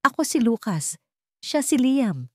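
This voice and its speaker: A woman's voice: on the high side, sing-song, a little slow, no echo, studio quality, pitched above the performer's own voice. A woman voicing a bold, serious seven-year-old boy in an audio drama.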